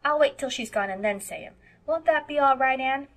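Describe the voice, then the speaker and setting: A woman reader plays a stubborn eight-year-old country boy from a storybook. He speaks in blunt, insistent bursts with homely childish grammar, pushed up higher and louder. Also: brisk. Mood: earnest, decided. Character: defiant, plain-spoken eight-year-old farm boy. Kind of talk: audiobook character voice.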